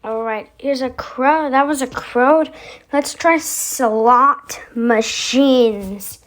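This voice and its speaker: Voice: sits high, extremely slow, a bit bright, a little hiss or hum. A video host giving casual vlog commentary, rambling and thinking aloud.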